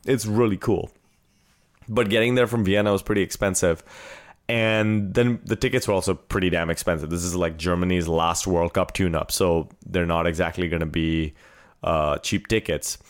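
A man's voice: low-pitched, steady tone, moderate pace. An American man hosting a podcast, chatting informally and with enthusiasm as he recounts things. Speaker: podcast host. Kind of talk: casual chat, recounting a trip.

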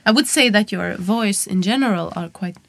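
Woman interviewer, halting delivery, dry close sound. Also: mid-range voice, vocal fry, fairly bright tone, dry recording. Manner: hesitant, many ums and uhs, supportive. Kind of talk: interview conversation.

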